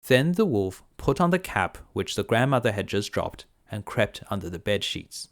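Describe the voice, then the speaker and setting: A man narrating a children's fairy tale as a bedtime storyteller, in a measured, simple delivery. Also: fairly low pitch, very animated.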